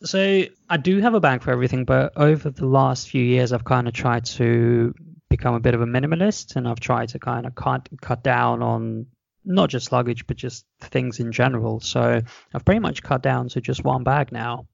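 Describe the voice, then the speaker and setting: A man from Russia chats eagerly as a guest on a podcast, talking over a video call. His voice is rough and gravelly with heavy fry. The call audio is dry and a bit muffled. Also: mid-range voice, steady tone, moderate pace, no room sound. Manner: chatty, enthusiastic, explains at length. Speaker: podcast guest, travel blogger. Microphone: video call microphone.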